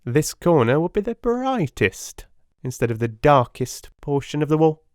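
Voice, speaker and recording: Man acting out a pompous, high-pitched, excitable little detective. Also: moderate pace, no echo, studio quality. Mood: boastful, insistent. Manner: boastful, triumphant, showman-like. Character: pompous, excitable little male police detective, self-satisfied. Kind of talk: dramatic audiobook character acting.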